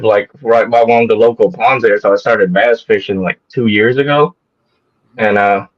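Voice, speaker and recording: A man originally from Vietnam, a guest on a live show, telling a casual story in a rambling, hesitant way with many restarts. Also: medium pitch. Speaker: guest kayak angler. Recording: muffled lo-fi audio.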